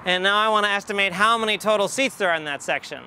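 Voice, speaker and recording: An American man in his forties teaches outdoors, with faint ambient noise behind him. His voice is high for a man and bright, with a forceful edge. He works through his explanation methodically, thinking aloud one step at a time. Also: fast. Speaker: math educator. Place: outdoors in an empty football stadium. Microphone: lapel.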